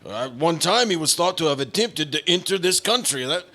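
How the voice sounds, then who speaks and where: A man playing an earnest, halting federal agent in an old-time radio drama, with stammered pauses. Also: fairly high pitch, animated, pushed and bright. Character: hesitant, earnest male federal bureau agent.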